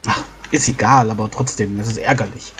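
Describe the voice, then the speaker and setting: A man chatting in character as a tabletop player, speaking haltingly and stumbling over his phrases. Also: on the low side, a bit bright, a little hiss or hum. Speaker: tabletop role-playing player. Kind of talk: in-character casual chat.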